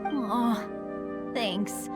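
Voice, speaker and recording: A woman's voice: fairly high pitch, drawn-out words, over loud music. A woman playing an earnest, optimistic young male adventurer in a video game, with a boyish, eager voice. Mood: hopeful. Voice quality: boyish, youthful. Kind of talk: video game cutscene acting.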